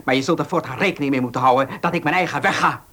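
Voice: medium pitch, rapid-fire, pushed and bright, lightly acted. An actor playing a resentful young nephew in a radio drama, sullen and threatening. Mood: defiant.

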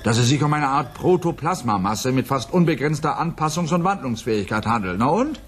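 A man's voice: mid-range voice, animated, brisk, a little hiss or hum, close to an everyday voice. A man playing a bitter, despairing man under siege in a radio play, grim and exasperated. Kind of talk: 1980s German radio play acting.

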